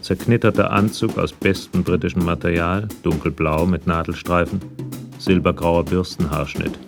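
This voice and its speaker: Brief: male narrator for crime radio play, very low gravelly voice, scripted delivery, music underneath. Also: steady tone, moderate pace.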